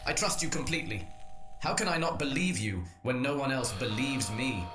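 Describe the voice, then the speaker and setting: A man's voice: mid-range voice, expressive, moderate pace, a bit bright, natural voice. A man playing an earnest, desperate young French nobleman in an audio drama, formal and urgent in his speech. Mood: desperate, pleading. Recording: echoey, roomy sound with music.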